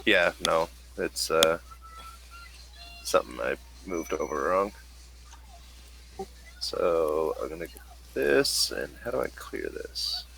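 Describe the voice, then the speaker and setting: A man running an online tabletop game as game master, talking haltingly and muttering as he goes. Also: a bass voice, big pitch swings, vocal fry, background noise.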